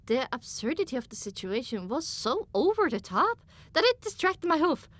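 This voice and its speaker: A woman narrating a scripted first-person story as an audiobook, with an expressive, dramatic delivery. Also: sits high, normal speed.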